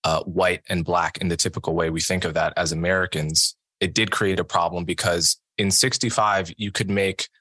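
A man's voice: low-pitched, fairly even intonation, normal speed, few pauses, bright, forceful tone, dry recording. A man arguing his side in a formal debate, pointed and argumentative. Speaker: podcast host and debater.

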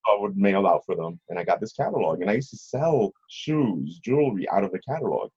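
A middle-aged man telling stories casually as an interview guest, husky, rambling and often checking in with the listener. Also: low voice, expressive, a little fast, warm tone. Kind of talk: casual conversational storytelling. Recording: slightly dull, lo-fi audio.

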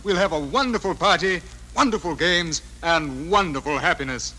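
An actor playing a cheerful, welcoming nephew in an old-time radio drama. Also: male voice, a little high, normal speed, pushed and bright, low-quality recording. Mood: delighted. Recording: vintage radio broadcast recording.

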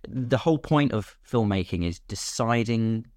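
A man's voice: lowish voice, expressive, measured pace, a little mellow, no room sound, clean studio sound. A British man talking casually as a podcast guest, explaining his craft and acting out imagined questions as he goes. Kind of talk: casual conversational explanation of filmmaking.

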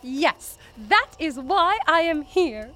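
A woman's voice: high-pitched, very animated, slow pace, pushed and bright, slight background noise, heavily acted. A woman playing a naive, literal-minded naturalist in an audio drama, speaking formally and precisely as she studies human customs. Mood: puzzled.

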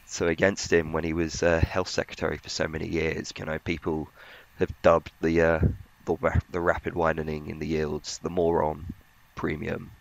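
A man giving conversational market analysis as a financial journalist, in a hesitant, halting way. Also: extremely low pitch, level tone, creaky, dull audio.

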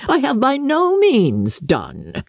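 Casting: haughty, scornful grande dame for a storybook played by a female narrator, indignant, sweeping pitch, husky edge. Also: huge pitch swings, takes it slowly, dry recording, clean studio sound, exaggerated voice. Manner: imperious, declamatory, indignant. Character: haughty, incensed older aristocratic lady, imperious and scornful. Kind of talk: dramatic classic novel reading.

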